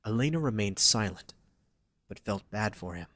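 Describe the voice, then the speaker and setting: A man's voice: fairly low pitch, expressive, normal speed, a bit bright, no room sound. A man narrating a scripted romantic story for an audio drama, reading dramatically.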